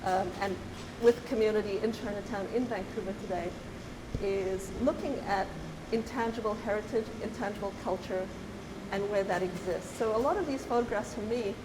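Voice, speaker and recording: A middle-aged woman from Zimbabwe, an artist talking reflectively, pausing often and restarting her thoughts. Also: medium pitch. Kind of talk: reflective conversational talk. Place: gallery. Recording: roomy hall with hiss.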